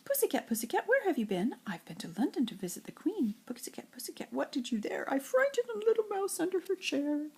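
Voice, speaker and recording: An older woman with a breathy, husky voice reads nursery rhymes like a grandmother, in an expressive singsong storytelling way. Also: high voice, a little fast, few pauses. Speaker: grandmother reading to children.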